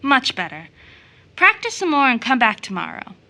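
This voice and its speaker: A woman storyteller voicing a kindly lady gatekeeper in a fairy tale, gentle and encouraging. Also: high voice, wildly swooping pitch, moderate pace, heavily acted. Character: gentle, kindly lady guarding a magical gate.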